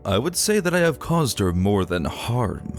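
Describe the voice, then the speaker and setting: A man's voice: medium pitch, big pitch swings, normal speed, natural voice. Man acting out an arrogant, commanding warrior prince. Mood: taunting. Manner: commanding, taunting. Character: arrogant young pony prince, battle-hungry usurper. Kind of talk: dramatic fantasy story reading. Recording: music behind the voice.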